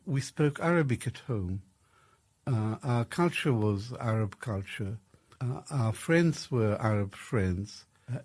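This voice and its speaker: Older male interviewee from Iraq, warm low tone, deliberate pacing with small pauses. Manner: measured, deliberate, frequent "uh". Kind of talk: archival interview clip.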